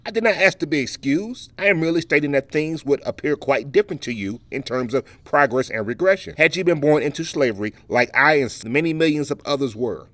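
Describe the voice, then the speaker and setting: An actor playing a dignified, proud Southern educator and orator in an audio play, speaking in a formal, measured, courteous way. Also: male voice, fairly high pitch, animated, a bit bright, no room sound. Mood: indignant. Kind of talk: dramatic audio play dialogue.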